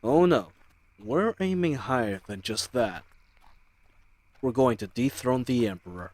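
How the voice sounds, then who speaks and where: A man's voice: medium pitch, animated, unhurried, a little mellow. A man playing a charming, cocky bandit crew leader in an audio roleplay, with a teasing, swaggering, conversational manner. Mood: amused, conspiratorial. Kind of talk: dramatic audio roleplay acting.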